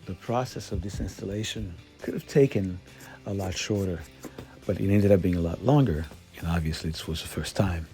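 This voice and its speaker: A man's voice: low voice, moderate pace, mellow. A man narrating a step-by-step DIY installation video as a voice-over, recounting the work as a vlogger. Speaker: DIY van build vlogger. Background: background music.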